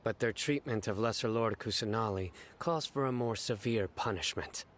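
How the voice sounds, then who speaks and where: A man's voice: fairly low pitch, normal speed, a bit bright, a little hiss or hum, close to an everyday voice. A man playing a stern, dutiful, reserved law enforcer in a video game, grave and formal. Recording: game music under the voice.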